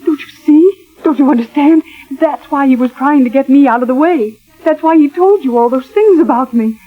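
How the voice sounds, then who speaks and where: An actress playing an anxious, loyal secretary in 1940s radio drama, with a breathless, pleading, melodramatic delivery. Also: female voice, sits high, normal speed, narrow, tinny audio, lightly acted. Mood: alarmed pleading. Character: young woman secretary, anxious, loyal, fearful of murder. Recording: thin vintage 1940s radio recording, organ music.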